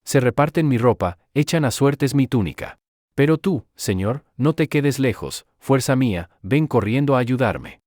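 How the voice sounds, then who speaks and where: Male reader, psalm, measured, dry studio sound. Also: medium pitch, lively intonation, a little mellow, dry recording. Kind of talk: psalm reading.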